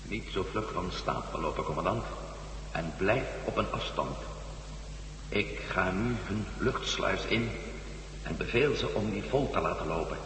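A man playing a bold, strong-willed astronaut in a radio drama, speaking forcefully. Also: sits low, expressive, unhurried. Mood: firm, controlled. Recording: roomy, distant, hissy radio-play recording.

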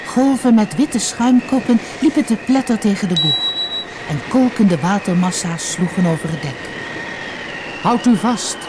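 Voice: medium pitch, moderate pace, noisy background. A narrator reading a storybook expressively and dramatically for an audiobook, on an old cassette recording.

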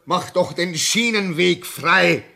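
An actor playing a haunted, anxious railway signalman in a 1950s radio drama, halting and agitated, swinging between hushed dread and crying out. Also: high-pitched, gravelly, full character voice. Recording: muffled-edged vintage 1950s radio recording.

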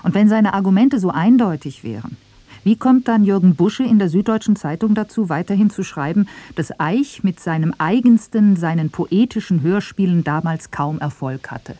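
A radio feature narrator reading a scripted debate, measured, questioning and objecting. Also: medium pitch, lively intonation, mellow, dry recording.

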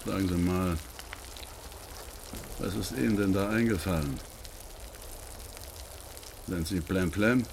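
An actor in a German radio play as an annoyed, blunt crook passing on orders in colloquial speech. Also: male voice, sits low, slow, creaky, pauses often, background noise, heavily acted. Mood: reproachful. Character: gruff male crook, annoyed henchman giving orders.

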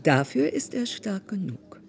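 A woman's voice: medium pitch, big pitch swings, unhurried, creaky, darkish tone. An actress playing a proud, gracious and protective noblewoman in a radio play, stately and solemn. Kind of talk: German radio play dialogue.

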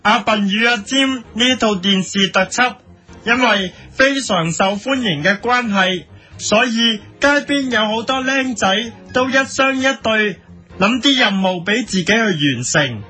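A man's voice: sits high, animated, measured pace, sharp tone, husky. A man with a Hong Kong Cantonese accent giving mock-serious editorial commentary as a host, in a theatrical, mock-earnest manner. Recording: faint music bed under voice. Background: music.

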